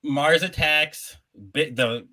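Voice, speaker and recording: A man's voice: on the high side, slow, sharp tone. A man hosting a casual podcast, often laughing as he reads out a movie bracket. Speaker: podcast host.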